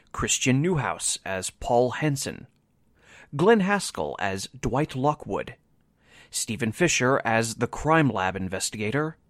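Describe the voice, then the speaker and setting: A man's voice: medium pitch, no echo, studio quality. A man reading scripted credits and announcements as a radio show's announcer.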